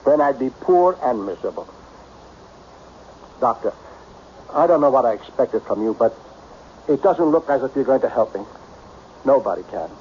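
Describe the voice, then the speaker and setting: Brooding, expressive millionaire in radio drama played by a man. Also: mid-range voice, normal speed, long pauses, close to an everyday voice. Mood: bitter, dejected. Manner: blunt, emphatic, brooding. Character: lonely self-made millionaire businessman, melancholy, brusque, self-pitying. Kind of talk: old-time radio drama acting. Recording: dull, noisy old radio broadcast.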